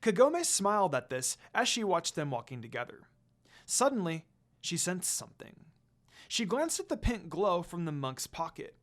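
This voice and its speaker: A dramatic narrator reading fan fiction aloud. The pitch sits in a middle range and moves up and down a great deal. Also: big pitch swings, moderate pace.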